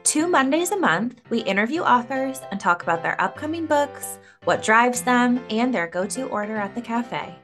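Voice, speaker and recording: A woman podcast host reads a scripted promo in a fairly high, animated voice. Light music runs underneath. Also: moderate pace, hardly any pauses.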